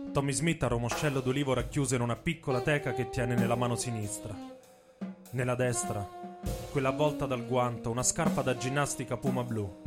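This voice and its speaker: A man narrating a radio theatre piece in a solemn, measured, dramatic storytelling style. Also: medium pitch. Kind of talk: dramatic scripted narration with music. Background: saxophone and drum music.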